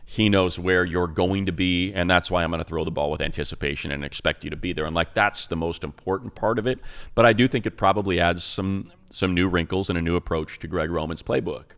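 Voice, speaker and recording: Man, low gravelly voice, loose casual football analysis, lots of restarts. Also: brisk, fairly bright tone. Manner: rambling analysis with many restarts. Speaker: radio play-by-play announcer and co-host. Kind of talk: casual sports talk.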